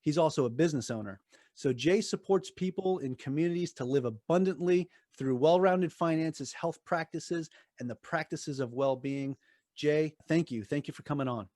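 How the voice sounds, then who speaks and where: A man's voice: a little high, moderate pace, dark tone, dry recording, clean studio sound. A man hosting an interview podcast, introducing his guest and asking questions in a friendly, loose way. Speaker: podcast host.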